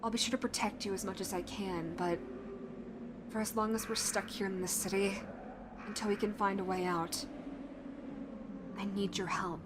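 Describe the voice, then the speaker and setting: Casting: actress for tough but caring young woman fighter, zombie-apocalypse audio roleplay, medium register, sincere halting delivery, noisy background. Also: medium pitch, fairly even intonation, natural voice. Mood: earnest, pleading. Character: determined, protective young woman fighter, survivor of a zombie outbreak. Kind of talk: dramatic audio roleplay addressed to the listener.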